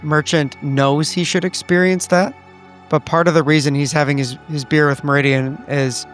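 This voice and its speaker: Clear-voiced man describing his character. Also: a little high, a bit bright. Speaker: player. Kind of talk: describing his character's feelings in a tabletop game.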